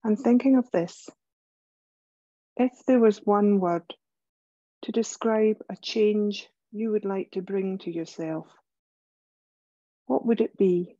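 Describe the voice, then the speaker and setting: A woman leading a guided relaxation meditation as a yoga teacher, gentle and soothing, speaking in measured phrases with pauses. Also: mid-range voice, dry recording, dull audio.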